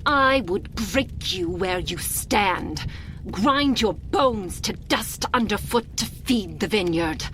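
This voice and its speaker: A woman playing an imperious, seductive and menacing vampire noblewoman in an ASMR roleplay, haughty and theatrical, with drawn-out phrasing. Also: mid-range voice, expressive, fairly bright tone. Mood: stern, contemptuous. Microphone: binaural microphone. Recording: close binaural mic, faint background noise.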